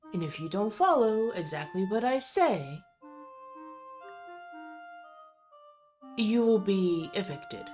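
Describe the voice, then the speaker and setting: Audio drama heroine, played by a woman: defiant, commanding, mid-pitched, unhurried delivery over music. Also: a little mellow, close to an everyday voice. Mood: stern, defiant. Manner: commanding, emphatic, clipped. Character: angry, defiant woman hero laying down rules. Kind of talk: amateur fantasy audio drama acting. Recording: music bed under voice.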